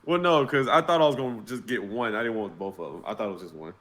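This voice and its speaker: A man's voice: on the low side, quick pace, a bit bright. A man talking casually as a player in a tabletop role-playing game.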